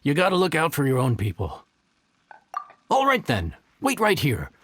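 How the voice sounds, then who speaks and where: Husky, cocky young cook. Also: male voice, fairly high pitch, wildly swooping pitch, moderate pace, a bit bright, no echo, heavily acted. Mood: chatty. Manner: confident, casual. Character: cocky, friendly young male restaurant cook. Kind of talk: video game character acting.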